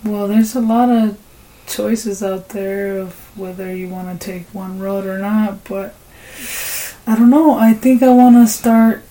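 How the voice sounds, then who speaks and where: A woman's voice: medium pitch, little pitch movement, measured pace, mellow, poor audio. A woman in her forties co-hosting a casual podcast, agreeable and hesitant, with many fillers. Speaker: podcast co-host. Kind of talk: casual chat.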